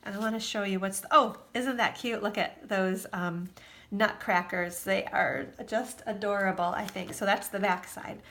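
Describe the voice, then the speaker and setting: A woman's voice: mid-range voice, steady tone, moderate pace, sharp tone. A woman giving a chatty craft tutorial at her worktable, sounding stuffy and nasal from allergies. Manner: chatty, with fillers and asides. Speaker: craft demonstrator. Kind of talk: casual tutorial.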